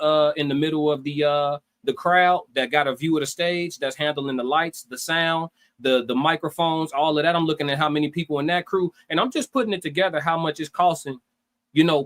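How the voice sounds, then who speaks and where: Higher-voiced man giving casual opinions. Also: fairly bright tone. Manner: repetitive, builds points in lists, checks in with "you know what I'm saying". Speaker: livestream co-host.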